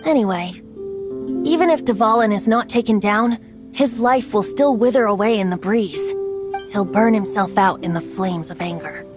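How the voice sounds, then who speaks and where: A woman's voice: medium pitch, moderate pace, lightly acted. A woman voicing a youthful, boyish male bard in a video game, whimsical and theatrical, with a playful, wistful lilt. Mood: wistful, courteous. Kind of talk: video game character acting. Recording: music behind the voice.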